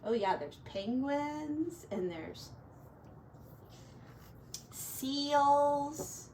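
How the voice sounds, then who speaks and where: Slow, expressive woman reading a storybook aloud. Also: high voice. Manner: theatrical, drawn-out key words with hushed asides. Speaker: teacher reading a picture book to children. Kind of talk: expressive read-aloud of a rhyming children's storybook with asides. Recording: roomy echo, distant mic, faint noise.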